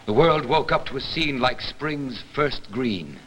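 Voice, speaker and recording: A man recites poetry with a bright, forceful tone and widely moving intonation. He is theatrical, an actor on a reverberant stage, with faint noise in the recording. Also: mid-range voice, expressive, a little slow. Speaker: actor giving a staged reading. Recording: faintly noisy hall, 1960s broadcast.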